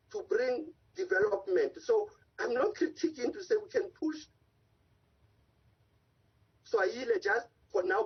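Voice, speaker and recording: A man's voice: high-pitched, husky. A man, an Africa analyst and advocate, making impassioned, long-winded points as a guest in a remote news interview over a video call. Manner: impassioned, argumentative, speaks in long run-on points. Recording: dull lo-fi call audio.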